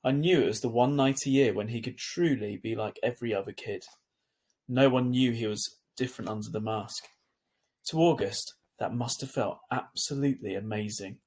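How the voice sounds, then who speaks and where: Husky male storybook narrator. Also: medium pitch, moderate pace, darkish tone. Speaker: teacher reading a story aloud.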